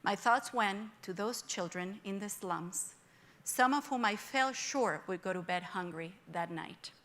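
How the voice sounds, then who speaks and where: A woman's voice: medium pitch, fairly bright tone. A middle-aged woman from Venezuela with a Venezuelan Spanish accent, giving a reflective, earnest personal speech at a forum. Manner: reflective, earnest, measured pauses. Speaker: forum speaker. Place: conference room with audience.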